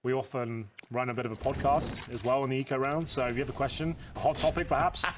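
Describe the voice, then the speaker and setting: A male caster rattles through tactical breakdowns at a brisk clip, trading jokes with his partner. His voice sits at an ordinary middle pitch. Loud game noise and clicks run underneath the broadcast. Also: fairly even intonation. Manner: quick, joking, explains tactics. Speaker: esports caster. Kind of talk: analytical commentary with banter. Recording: noisy broadcast with game sounds.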